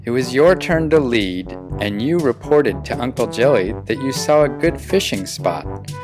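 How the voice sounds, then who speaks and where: A man voicing a proud, loyal young boy pelican in an earnest, expressive children's picture book read-aloud. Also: medium pitch, unhurried, natural voice. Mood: proud, defensive. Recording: music behind the voice.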